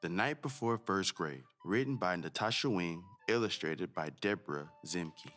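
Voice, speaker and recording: A man's voice: deep voice, lively intonation, normal speed, fairly bright tone, faint music behind. A man hosting a read-aloud for children, narrating expressively and speaking directly to his young listeners.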